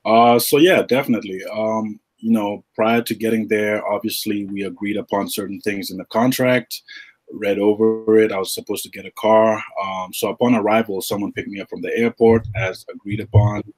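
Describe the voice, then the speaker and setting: Deep husky man, relaxed and halting. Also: poor audio. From Uganda. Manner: relaxed, halting with many fillers. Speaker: guest, retired pro basketball player and agent. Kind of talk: podcast interview.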